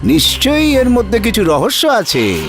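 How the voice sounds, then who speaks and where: A man's voice: high-pitched, wildly swooping pitch, unhurried, a bit bright, faint music behind, exaggerated voice. A man voicing a jealous, scheming rich elder brother in a cartoon fairy tale, with suspicious, swooping delivery. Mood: suspicious, envious.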